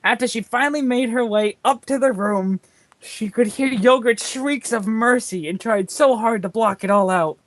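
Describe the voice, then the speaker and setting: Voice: medium pitch, normal speed, no echo. A person reading their own comic fan fiction aloud in a theatrical way, as a storyteller among friends. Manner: theatrical, often breaking into laughter.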